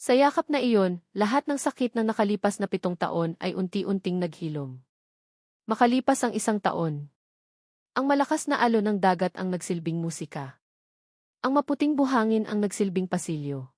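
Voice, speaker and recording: A woman storyteller narrates a sentimental love story with earnest feeling. Her voice sits at an average female pitch, recorded dry and close with no room sound. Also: animated, moderate pace, darkish tone, dry recording. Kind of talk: scripted dramatic narration of a romance story.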